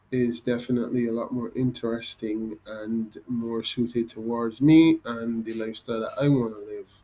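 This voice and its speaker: A young Barbadian man walks through his screen in a loose, unscripted way. He hesitates often, fills gaps with "um" and restarts his sentences. His voice sits at an ordinary middle pitch. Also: a little mellow, low-quality recording. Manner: hesitant, many ums and restarts. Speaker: vlogger. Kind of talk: casual screen-recorded walkthrough.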